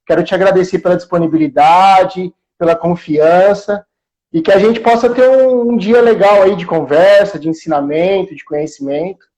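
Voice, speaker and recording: Male live-show host, high-pitched, inland São Paulo Brazilian accent, informal and friendly chat. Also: normal speed, a little mellow, rough recording. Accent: Brazilian, São Paulo interior. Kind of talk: casual live interview chat. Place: remote video call livestream.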